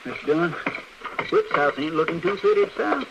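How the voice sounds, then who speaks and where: An actor playing a talkative, simple-hearted cowboy sidekick with a rural American drawl and a rambling, deferential manner, in an old radio western. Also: male voice, fairly high pitch, sing-song, brisk, creaky, muffled recording. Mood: uneasy. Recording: crackly, noisy old radio recording.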